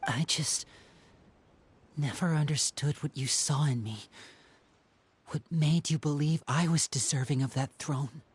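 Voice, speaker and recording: Game role: loyal young man. Earnest, respectful, soft-spoken, unsure of his own worth, medium register. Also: mid-range voice, a little slow, long pauses, slightly rough. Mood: self-doubting. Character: earnest, loyal, self-doubting young man, devoted son. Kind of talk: scripted video game dialogue.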